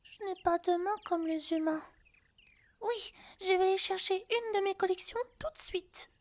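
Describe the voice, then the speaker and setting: Tiny, squeaky-high scatterbrained harpy girl. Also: normal speed. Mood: flattered, eager. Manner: childlike, flighty, wandering delivery. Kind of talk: ASMR roleplay.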